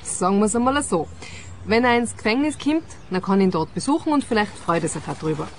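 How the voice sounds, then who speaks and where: A woman's voice: medium pitch, lively intonation, brisk, natural voice. Actress as a curt, sardonic woman. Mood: grumpy, sardonic. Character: grumpy, indifferent grown daughter, night-shift arcade attendant. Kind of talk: radio play acting. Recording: faint outdoor park noise.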